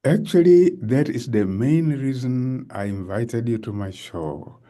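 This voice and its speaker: A man hosts a casual interview and talks with open enthusiasm, often filling pauses with "you know." His voice is mellow and sits in a middle pitch. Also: lively intonation. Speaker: host. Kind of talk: interview chat.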